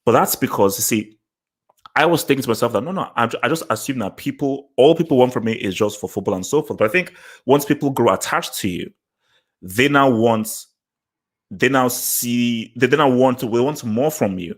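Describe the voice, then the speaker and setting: A man's voice: medium pitch, moderate pace. A man from Nigeria with a Nigerian accent, chatting as a podcast host, circling and repeating words to stress his point. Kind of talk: casual discussion podcast chat.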